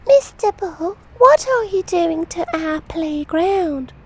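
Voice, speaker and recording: Very high-pitched little girl pig. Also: expressive, slow pace, a little mellow, exaggerated voice. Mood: curious. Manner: childlike, exclamatory, simply phrased. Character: Peppa, a bright young girl pig. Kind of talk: children's storybook read-aloud.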